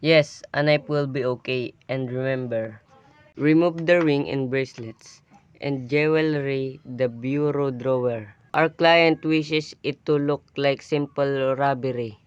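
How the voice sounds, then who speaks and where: A man's voice: mid-range voice, unhurried, clear, resonant tone, dry recording, lightly acted. A man playing a cold-blooded crime boss in an amateur radio play, giving instructions in a businesslike way. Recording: dull, lo-fi audio.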